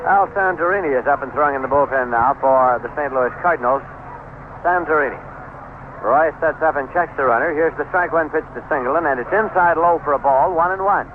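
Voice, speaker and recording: Guy doing baseball play by play on old am radio, bit high voice, thin hissy sound, measured. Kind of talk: live radio sports commentary. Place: stadium broadcast booth. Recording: narrowband, noisy old AM radio broadcast.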